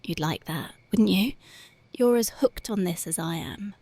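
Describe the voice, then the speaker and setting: A woman voicing a tough but devoted young punk girlfriend in an ASMR roleplay, talking softly and intimately close to the mic, often dropping to a whisper. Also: mid-range voice, a little slow, no echo. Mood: tender, dreamy. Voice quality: soft, intimate, partly whispered. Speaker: voice actress.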